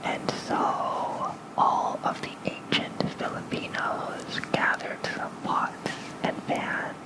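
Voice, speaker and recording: An ASMR host reading lore as a bedtime story in a soft, breathy whisper, gentle and soothing, close to a fluffy microphone. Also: poor audio. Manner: slow, gentle, soothing delivery. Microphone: close fluffy-covered microphone.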